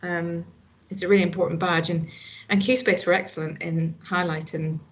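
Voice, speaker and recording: Woman, Northern Irish accent, middle-aged, earnest, casual conversation, low-pitched. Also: steady tone, normal speed. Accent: Northern Irish, slight Scottish. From Northern Ireland. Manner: earnest, frequent "you know" and restarts. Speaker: hospital staff guest.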